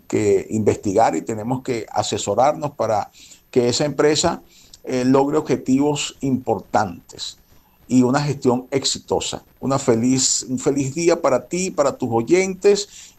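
A man's voice: mid-range voice, darkish tone. A man with a Venezuelan accent giving an earnest interview answer, repeating phrases for emphasis. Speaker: guest, security company manager.

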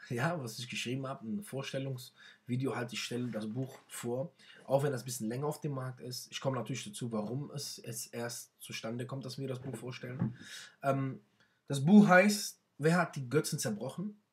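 Hesitant young man talking to webcam. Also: mid-range voice. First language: not German. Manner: hesitant, many fillers and restarts. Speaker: author presenting his book. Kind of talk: casual talk to camera. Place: small bedroom indoors.

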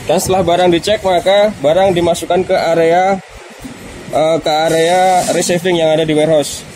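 A man's voice: fairly high pitch, steady tone, normal speed, darkish tone, echoey room, slight background noise, poor audio. A man methodically walking through warehouse procedures step by step, with frequent hesitations. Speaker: warehouse staff demonstrating procedures. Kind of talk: instructional workplace walkthrough.

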